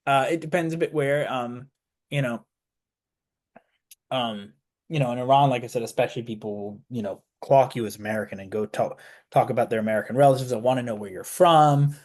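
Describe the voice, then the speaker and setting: A man's voice: mid-range voice, a little fast, darkish tone. A young man, a student guest on a radio interview, talking casually with lots of fillers and restarts. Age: young adult. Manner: rambling, many fillers and restarts. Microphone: studio mic.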